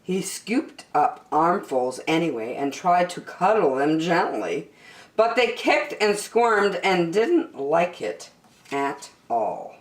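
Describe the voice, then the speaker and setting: Slow, expressive woman storyteller in echoey room. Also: fairly low pitch. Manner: expressive, emphatic, drawn-out key words. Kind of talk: animated children's storybook reading.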